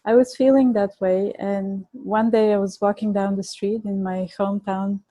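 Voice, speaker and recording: A woman's voice: mid-range voice, little pitch movement, moderate pace, dark tone, no echo. A woman in her forties with a Bulgarian accent, a podcast guest telling her story in an earnest way, laughing easily and restarting words. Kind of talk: conversational storytelling.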